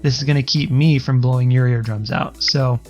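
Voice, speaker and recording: Guy with a higher pitched voice rambling thru a streaming audio tutorial, lots of ums, soft music going behind him. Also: fairly even intonation, moderate pace, gravelly, highs cut off. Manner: rambling, loose, many ums and restarts. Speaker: streamer explaining audio settings. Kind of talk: casual screen-recorded tutorial.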